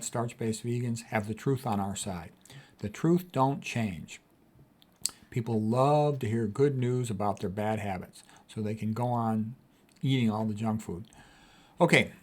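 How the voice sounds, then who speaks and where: A man's voice: medium pitch, darkish tone. A man of sixty, a physician, reading quotes aloud and commenting on them in an emphatic, casual way, often repeating his key points. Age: 60s. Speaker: physician host.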